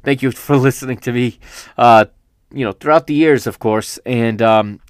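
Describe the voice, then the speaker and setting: A man's voice: mid-range voice, moderate pace, clean tone, dry recording, clean studio sound. A man hosting a solo football podcast, rambling through a casual recap, often correcting himself as he goes, with a fan's enthusiasm. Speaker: podcast host. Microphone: studio microphone.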